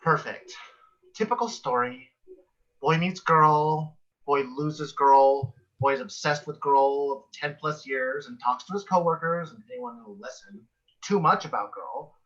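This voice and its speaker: A man's voice: on the high side, unhurried, fairly bright tone. A man acting a sassy, nitpicking, gossipy office coworker in a sitcom table read, arch and wry, over a muffled video call.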